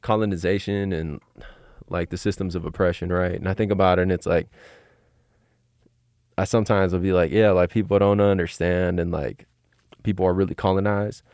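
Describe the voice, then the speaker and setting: A man's voice: deep voice, steady tone, a little mellow, clean tone, no room sound, clean studio sound. An American man in reflective, informal conversation, feeling his way through thoughts with lots of repeated phrases. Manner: informal, halting, filler "like" and "you know what I mean". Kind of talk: casual reflective chat.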